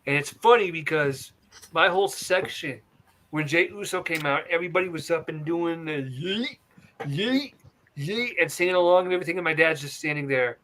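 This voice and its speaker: A man's voice: a little high, lively intonation, normal speed, a bit bright, a little hiss or hum. A man co-hosting a wrestling podcast in loose, casual chat, rambling into side stories and apologizing for going off topic. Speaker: podcast co-host.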